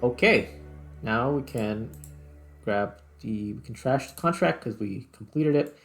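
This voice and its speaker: Gravelly man casually narrating his gameplay. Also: mid-range voice, lively intonation, unhurried, faint music behind. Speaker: host, gaming video creator. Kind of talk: casual gameplay commentary, thinking aloud.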